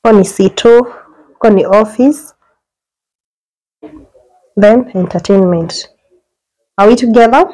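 A young woman with a Kenyan accent talks her viewers through a small apartment, filming on her phone as she walks. Her delivery is chatty and full of quick exclamations, with the sound of an ordinary indoor room around her. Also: medium pitch, low-quality recording. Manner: chatty, mixes English and Swahili slang, lively exclamations. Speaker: vlogger giving a house tour. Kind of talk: casual house tour chat. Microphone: phone camera held while walking.

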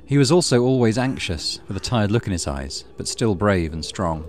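A man's voice: lowish voice, animated, normal speed, a little hiss or hum. A man hosting a let's play, reading a horror visual novel aloud with dramatic storytelling and muttered asides. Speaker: gaming channel host.

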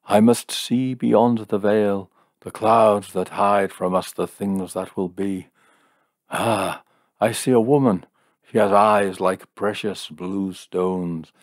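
A man's voice: lowish voice, steady tone, takes it slowly, dry recording, clean studio sound. A man voicing a solemn, mystical male seer in a story reading, making portentous pronouncements.

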